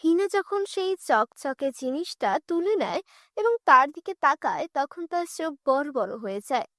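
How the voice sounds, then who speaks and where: A woman's voice: sits high, expressive, moderate pace, pushed and bright, no echo, studio quality. A woman narrating a scripted fairy tale for children as its storyteller.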